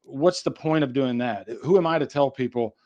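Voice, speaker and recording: Male host, medium-pitched voice, informal chat, conversational delivery. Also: moderate pace, lo-fi sound. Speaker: podcast host. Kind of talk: casual chat.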